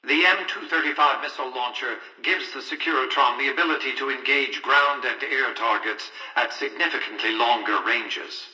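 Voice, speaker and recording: An actor playing an imperious, calculating elderly tycoon in a video game, speaking formally and condescendingly through a radio-like speaker. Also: male voice, medium pitch, moderate pace, hardly any pauses, pushed and bright, breathy edge, recorded from a distance, low-quality recording. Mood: haughty, lecturing. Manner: clipped, condescending, formal and articulate. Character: imperious, calculating elderly male tycoon ruling a casino city. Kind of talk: video game character dialogue.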